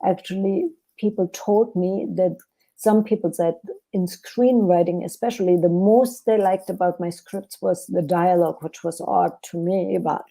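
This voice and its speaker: A woman's voice: fairly low pitch, steady tone, measured pace, gravelly, warm tone, poor audio. A woman from Germany with a German accent, an author talking through her writing process in a podcast interview with many fillers and restarts. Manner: thoughtful, hesitant with fillers and restarts. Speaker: author guest.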